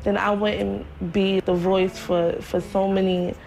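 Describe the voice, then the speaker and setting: A woman's voice: mid-range voice, flat delivery, a little slow, creaky, darkish tone. A woman in her twenties speaking casually and reflectively in an interview, often saying "like". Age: 20s. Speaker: interviewee, trafficking survivor.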